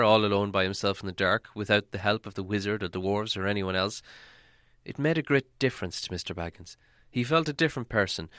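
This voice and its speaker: Low, bright-voiced dramatic male storyteller. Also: smooth, dry recording, clean studio sound. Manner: theatrical, with deliberate dramatic pauses. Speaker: audiobook narrator.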